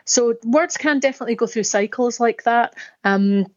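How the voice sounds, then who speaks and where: A woman's voice: a little high, normal speed, highs cut off. A woman with a Scottish accent, a dictionary editor guest on a radio interview, explaining thoughtfully with fillers and restarts. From Scotland.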